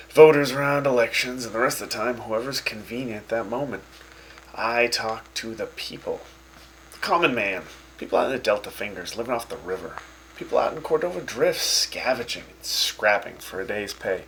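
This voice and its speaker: A man's voice: fairly low pitch, slight background noise, rough recording. A man voicing a brash, opinionated radio host who teases his guests and makes swaggering speeches, in a fan radio drama. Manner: swaggering, teasing, lively jokes and speeches. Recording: music playing behind.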